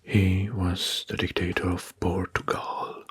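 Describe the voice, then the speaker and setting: Slow, breathy whispering man, ASMR. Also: low-pitched, flat delivery, dry recording. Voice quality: breathy, mostly unvoiced whisper. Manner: deliberate, pausing in short phrases, often repeating words. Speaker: ASMR host presenting history facts. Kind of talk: whispered ASMR history narration. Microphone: close microphone.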